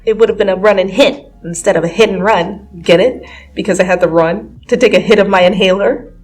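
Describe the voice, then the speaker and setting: A woman's voice: medium pitch, fairly quick, natural voice, rough recording. A woman voicing a teasing, childlike joker of a teenage best friend in a dramatic fan fiction reading over music. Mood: giggly teasing. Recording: music behind the voice.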